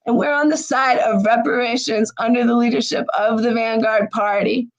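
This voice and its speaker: Woman, livestream host, voice giving out, urgent and exhorting, fairly high-pitched. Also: steady tone, normal speed. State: losing her voice. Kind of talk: fundraising livestream talk.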